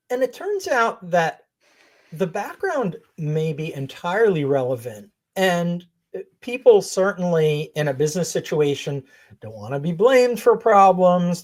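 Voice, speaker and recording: A woman's voice: fairly low pitch, sing-song, measured pace, a little mellow. A woman coach who grew up in Israel, talking as a guest on a podcast in an earnest, thoughtful way and laughing often. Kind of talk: reflective interview talk.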